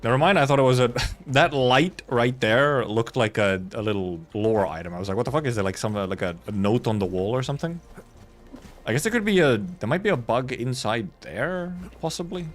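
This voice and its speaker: A man's voice: mid-range voice, lively intonation, fairly quick. A man giving casual live gameplay commentary as a streamer, rambling and muttering to himself. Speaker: game streamer.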